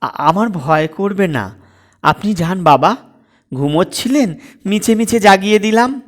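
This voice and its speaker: Young daughter-in-law, played by a male reader, composed but pert, high-pitched and unhurried, for comic audio story. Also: lively intonation. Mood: composed, placating.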